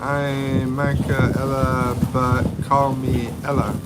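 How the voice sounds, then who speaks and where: Fifty-something guy on a phone livestream, slow easy talk, reading out chat comments, loud road noise behind him. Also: medium pitch, level tone. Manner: unhurried, reads viewer comments aloud, hedges with "like" and "I think". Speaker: livestream host.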